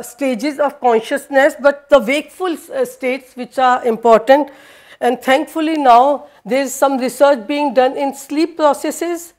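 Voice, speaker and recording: A high-pitched woman whose first language is Hindi lectures to a university class. She speaks haltingly, full of fillers and restarts, and is recorded from across a lecture room by a far camera. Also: a little mellow. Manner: hesitant, many fillers and restarts, switches into Danish.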